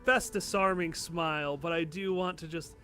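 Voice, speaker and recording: A man's voice: fairly high pitch, steady tone, moderate pace, pushed and bright, soft background music. A man casually describing his character's actions as a player in a tabletop game. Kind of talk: casual improvised role-play narration.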